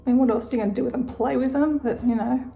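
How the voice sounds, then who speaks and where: A middle-aged Australian woman with a slightly croaky voice, chatting casually through her day in a home vlog and thinking aloud with soft laughs. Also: fairly high pitch, fairly even intonation, rapid-fire, muffled recording. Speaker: vlogger. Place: home kitchen.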